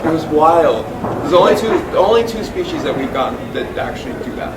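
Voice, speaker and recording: A wildlife tracking panelist telling stories in a chatty, animated way at a live question-and-answer event, full of "like" and restarts. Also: male voice, a little high, a little fast. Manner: chatty, lots of "like" and self-restarts. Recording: echoey room, distant mic, noisy.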